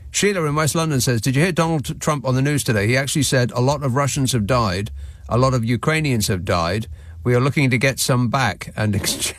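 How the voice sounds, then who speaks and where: A man hosting a radio phone-in, talking with sardonic, deadpan asides and dry banter with callers. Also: medium pitch, no echo. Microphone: studio mic.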